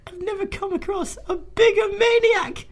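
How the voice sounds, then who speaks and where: A woman's voice: very high-pitched, normal speed. A woman acting in a radio drama as a scornful, exasperated sceptic losing all patience, with dramatic delivery. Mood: exasperated, incredulous.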